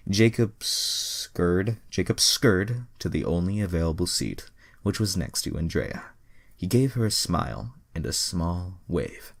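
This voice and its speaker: Deep-voiced, gravelly man reading aloud. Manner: profane, exasperated asides. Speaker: reader and commentator. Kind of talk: mock-dramatic reading of crude fan fiction with casual asides.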